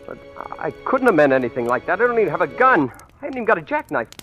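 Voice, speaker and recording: A man's voice: high-pitched, very animated, rapid-fire, dull audio. An actor playing a frightened, stammering ordinary man caught among killers, in a 1940s radio suspense drama. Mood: panicky pleading. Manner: hesitant, stammering. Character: frightened ordinary husband mistaken for a killers' client. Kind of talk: 1940s radio acting, first-person suspense narration. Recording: old-time radio recording with music.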